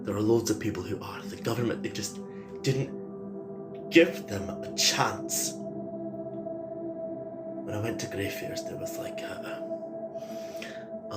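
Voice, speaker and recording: An actor playing a defensive, weary survivor in an audio drama, speaking in a halting, emphatic way. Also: male voice, lowish voice. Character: defensive, weary male survivor explaining himself to friends. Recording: roomy echo with music behind.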